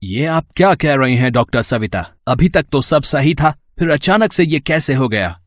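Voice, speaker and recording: A man's voice: mid-range voice, animated, normal speed, no room sound, natural voice. Well-off husband, acted by a man, dry sound. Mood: shocked. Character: well-off foreign husband seeking a surrogate. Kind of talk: dramatised moral story.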